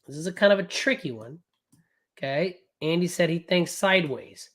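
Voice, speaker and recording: A man running a live trading class, recovering from a cold, talking in a loud, brash and profane way with lots of rambling asides. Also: on the high side, expressive. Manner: casual, fast and rambling, with frequent "right?". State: recovering from a cold, voice not fully back. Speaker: day trading coach.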